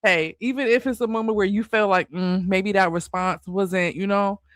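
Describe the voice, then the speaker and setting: Casual male commentator, high-pitched, slight lisp, light stutter, dry mic. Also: fairly even intonation, normal speed, fairly bright tone, no room sound. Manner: stutters a little, loose and chatty. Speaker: commentary channel host.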